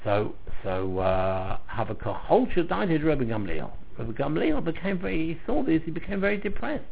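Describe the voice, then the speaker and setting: A man's voice: on the high side, big pitch swings, moderate pace, creaky, slightly rough, phone-quality sound. A man teaching a religious text line by line, reading and translating, animatedly explaining, repeating phrases and stumbling over words. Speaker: Talmud teacher.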